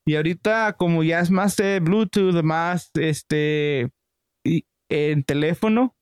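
A laid-back man chats in a slangy, easygoing way. His voice sits a little high and sounds smooth and clear. The recording is close and dry. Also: takes it slowly, no echo. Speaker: podcast host. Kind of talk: casual chat.

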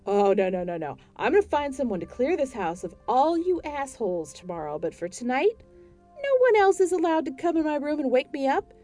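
A woman voicing a foul-mouthed young homeowner who yells and swears in a spoken story. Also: high voice, big pitch swings, a little fast, soft background music, pitched above the performer's own voice. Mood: furious. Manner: shouting, swearing.

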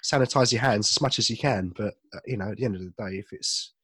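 A man co-hosting a podcast, chatting in a loose, self-deprecating way. His voice sits fairly low and comes through husky and slightly chesty. The sound is dry and close. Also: fast talker, fairly bright tone, dry recording. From United Kingdom. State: slight cough, a bit chesty. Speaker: podcast co-host. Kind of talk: casual chat.

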